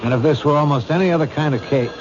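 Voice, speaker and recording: An American man playing a gruff, world-weary police captain in a radio drama, blunt and cynical. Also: on the high side, very fast, natural voice. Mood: weary certainty. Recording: muffled, noisy old radio broadcast.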